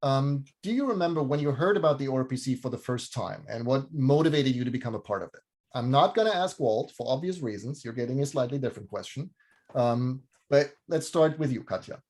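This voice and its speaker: A man hosting and moderating a panel, speaking clearly and orderly on a video call. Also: medium pitch, animated, brisk, a little mellow. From Germany. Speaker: host, moderator. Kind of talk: scripted introduction and moderating.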